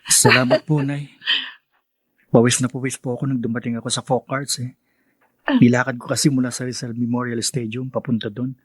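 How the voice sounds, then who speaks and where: An actor playing a respectful, humble young graduate son in a radio drama, speaking politely and earnestly. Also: male voice, medium pitch, level tone, a little fast, husky, no room sound, natural voice, low-quality recording. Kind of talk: Filipino radio drama acting.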